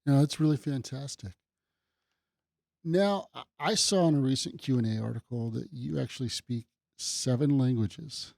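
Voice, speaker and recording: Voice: mid-range voice, moderate pace, gravelly, darkish tone, no echo, studio quality. An interviewer asking a guest questions in a friendly, encouraging way.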